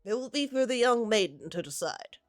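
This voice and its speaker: A woman's voice: fairly high pitch, lively intonation, brisk, soft background music, pitched above the performer's own voice, lightly acted. A woman voicing a smug, sanctimonious young rich man with a nasal voice.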